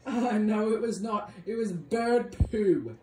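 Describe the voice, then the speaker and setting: A casual Australian voice mocks and scolds in lively swings of pitch. It is caught on a phone in a small, echoey bedroom with faint room noise. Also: slow. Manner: mocking, bossy, jumps between high and low. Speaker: vlogger filming a family skit. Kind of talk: casual teasing banter. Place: small bedroom with blinds and a gaming desk. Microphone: handheld phone.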